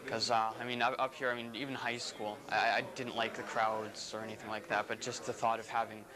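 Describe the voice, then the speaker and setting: A man's voice: fairly low pitch, little pitch movement, brisk. A young man, a prospective student, speaking hesitantly and stumbling over his words. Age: young adult. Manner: hesitant, repeating himself. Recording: distant from mic, crowd behind.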